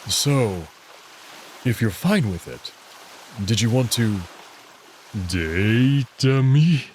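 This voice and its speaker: A man voicing a young male alien wolf for a visual novel, proud and courteous, speaking earnestly and deliberately. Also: medium pitch, lively intonation, darkish tone, a little hiss or hum. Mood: tentative, careful. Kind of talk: visual novel character reading.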